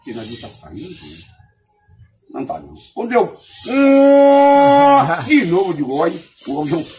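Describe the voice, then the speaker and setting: A Bahian man tells a comic story among friends. His voice sits a little high and leaps around expressively, with slangy, repeated phrases and acted-out bits of dialogue. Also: a little slow, a little hiss or hum. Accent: Bahian Brazilian. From Salvador, Bahia, Brazil. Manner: excitable, slangy, repetitive, acting out dialogue. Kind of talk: animated casual anecdote telling.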